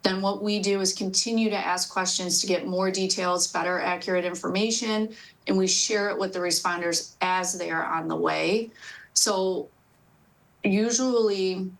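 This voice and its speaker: A woman's voice: mid-range voice, fairly even intonation, moderate pace, gravelly, low-quality recording. An American woman who works as a police dispatcher, explaining things as she answers interview questions.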